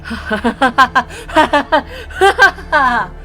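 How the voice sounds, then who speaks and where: A woman doing a casual let's play, reading game dialogue aloud and reacting with excitable, self-deprecating asides. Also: high voice, drawn-out words, soft background music.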